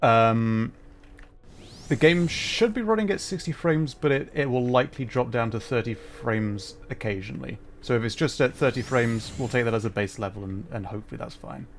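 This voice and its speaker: Man, medium pitch, casual livestream commentary, explanatory, addressing viewers, close mic, light background noise. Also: normal speed. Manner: informal, explanatory, talking to viewers. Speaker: game livestreamer.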